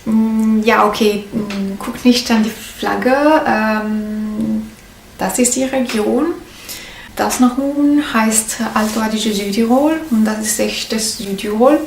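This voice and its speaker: A woman from Trentino with an Italian accent, explaining to her viewers in halting, self-correcting learner German. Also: fairly high pitch, steady tone, slight background noise. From Trentino, northern Italy. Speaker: vlogger. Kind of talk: casual explainer vlog. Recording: echoey room, mic at a distance.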